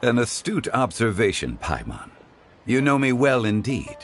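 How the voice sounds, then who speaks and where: A man voicing a courteous, refined gentleman in a video game who speaks in a formal, measured and eloquent way. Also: medium pitch, huge pitch swings, faint music behind. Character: refined, courteous gentleman fond of the finer things. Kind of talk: video game cutscene dialogue.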